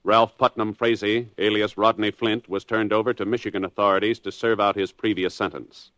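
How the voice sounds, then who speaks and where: A man announcing in formal, measured 1950s radio style, reading scripted narration. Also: on the low side, flat delivery, fairly bright tone, no echo.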